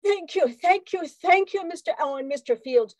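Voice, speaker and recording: A woman's voice: very high voice, lively intonation, moderate pace. A woman playing a grateful young nursing student rescued from captivity in an old-time radio drama. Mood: breathless gratitude.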